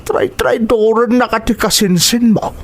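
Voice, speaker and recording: A man's voice: very high-pitched, unhurried, exaggerated voice. A man playing an insistent, gossiping elder neighbour who gives earnest warnings in an audio drama. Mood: urgent, warning. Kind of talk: amateur radio-style drama acting.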